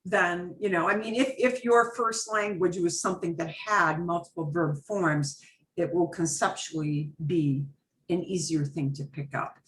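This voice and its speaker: A woman's voice: lowish voice, measured pace. A woman teaching a beginner Spanish class over a video call, chatty and patient, mixing Spanish phrases into her English explanations.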